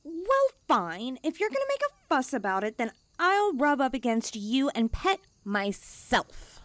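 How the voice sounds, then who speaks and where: A woman's voice: sits high, big pitch swings, a little slow, fairly bright tone, no room sound, full character voice. A woman playing a haughty, needy young stray catgirl, blunt and cutesy, in an ASMR comfort roleplay. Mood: puzzled, pouty.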